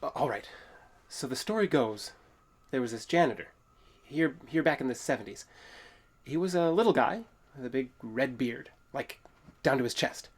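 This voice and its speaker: A man's voice: medium pitch, lively intonation, normal speed, long pauses, close to an everyday voice. An actor playing an earnest, touchy man exploring an old building, stammering a little in naturalistic audio drama dialogue. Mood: earnest storytelling. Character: earnest, touchy male urban explorer telling local legends. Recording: echoey hollow room sound.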